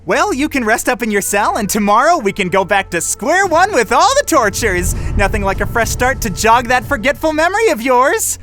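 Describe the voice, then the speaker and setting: Man doing a bright-voiced, manic young interrogator. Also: extremely high pitch, big pitch swings, normal speed, hardly any pauses, faint music behind, full character voice. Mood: mock-friendly menace. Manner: volatile, theatrical. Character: manic young male interrogator, sister-obsessed, menacing. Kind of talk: anime parody dub.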